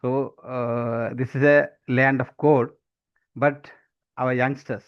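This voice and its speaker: A Sri man in his forties giving a deliberate, halting impromptu speech with many fillers. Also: mid-range voice, little pitch movement, clean tone. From Sri Lanka. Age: 40s. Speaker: impromptu speaker. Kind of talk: impromptu table topics speech. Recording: dull lo-fi audio.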